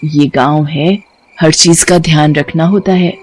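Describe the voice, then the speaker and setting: A woman's voice: low voice, steady tone, unhurried, darkish tone, a little hiss or hum. A woman voicing an older village mother, concerned and kindly, in a dramatised horror story. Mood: motherly.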